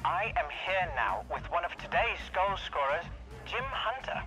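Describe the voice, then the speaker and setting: An actor playing a polite broadcast sports interviewer in a game, formal and courteous. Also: male voice, high voice, very animated, measured pace, bright, forceful tone, faint music behind, full character voice, rough recording.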